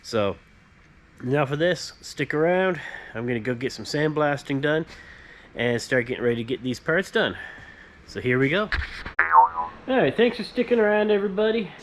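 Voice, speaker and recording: Higher-voiced man over clear background noise. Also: animated, moderate pace, long pauses. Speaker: host, powder coating tradesman. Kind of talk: casual workshop vlog presenting. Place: workshop. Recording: noisy workshop background.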